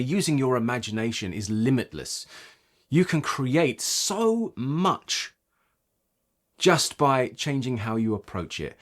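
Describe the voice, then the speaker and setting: A man presenting an instructional tutorial as a voice coach, explaining in an expressive, emphatic way. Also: medium pitch.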